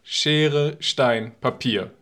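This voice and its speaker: A man's voice: mid-range voice, extremely slow, bright, forceful tone. A man co-hosting a podcast, chatting and telling stories casually in a playfully teasing way. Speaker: podcast co-host. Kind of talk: casual, bantering podcast storytelling.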